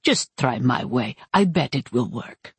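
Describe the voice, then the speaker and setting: A woman doing a wry, low, husky male priest. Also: animated, measured pace, no echo, full character voice. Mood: soothing, reasoning. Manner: soothing, reasonable, dryly humorous. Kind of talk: audiobook character acting.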